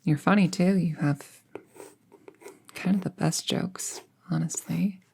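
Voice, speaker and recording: Young apprentice witch for audio roleplay, played by a woman: low, mellow, soft-spoken, casually thoughtful and friendly, near-whispered at times. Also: fairly even intonation, takes it slowly, gravelly, slightly rough, full character voice. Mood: fond, unsure. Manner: soft-spoken, hesitant, musing, often trailing into near-whisper. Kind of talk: intimate roleplay audio acting, conversational.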